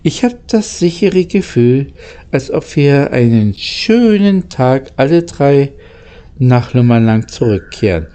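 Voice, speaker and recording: A man voicing a kindly, thoughtful adult engine driver in a storybook, measured and reassuring. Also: mid-range voice, expressive, warm tone. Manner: measured, comforting.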